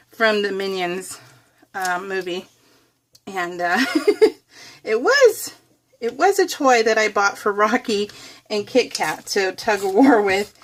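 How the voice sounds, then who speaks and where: A woman's voice: medium pitch, sing-song, slow pace, a little hiss or hum. An American woman chatting casually to viewers as a craft vlogger, giggly and often laughing through her words, wandering off on tangents. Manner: self-deprecating, wanders off topic. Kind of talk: casual, rambling chat to viewers.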